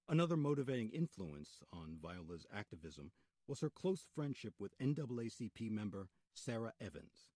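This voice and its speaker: A man narrating a scripted radio documentary as its host. His voice is lowish and husky, with lively intonation and deliberate dramatic emphasis. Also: no echo.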